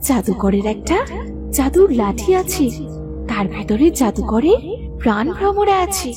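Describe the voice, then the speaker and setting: A woman voicing a gentle, earnest fairy who speaks solemnly and instructively in a children's cartoon. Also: high-pitched, very animated, measured pace, over music.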